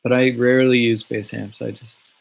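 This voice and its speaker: A man chatting casually from his home on a livestream, answering fan questions in a relaxed, rambling way with many fillers and restarts. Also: medium pitch, moderate pace, vocal fry. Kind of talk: casual live Q&A. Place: home studio in the countryside. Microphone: webcam or phone on a livestream.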